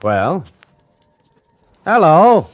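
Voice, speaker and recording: A man's voice: on the high side, very animated, drawn-out words, no room sound, lo-fi sound, heavily acted. An actor playing a streetwise, slangy, hard-up necktie salesman who narrates an old-time radio suspense play in a dramatic style. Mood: brash, pushy. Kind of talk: 1940s radio suspense acting, first-person narration. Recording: old radio broadcast with music behind.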